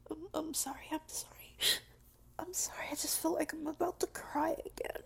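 A woman playing a clingy, submissive, tearful lover in an intimate audio roleplay, speaking in halting, repeated phrases with a breathy, creaky whisper. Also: sits high, exaggerated voice. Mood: apologetic, pleading.

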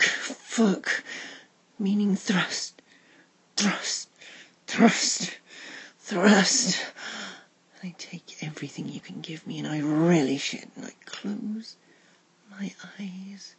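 Female, very breathy and slow, mostly whispered, intimate adult audio narration. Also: on the low side. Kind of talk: intimate whispered erotic audio roleplay, second-person narration.

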